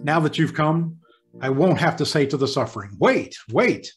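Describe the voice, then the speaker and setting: A man acting a flustered, animated hospital superintendent. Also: a little high, faint music behind. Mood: troubled, earnest. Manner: halting, repeats himself when rattled. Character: flustered, earnest male hospital superintendent, a doctor. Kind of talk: old-time radio drama acting.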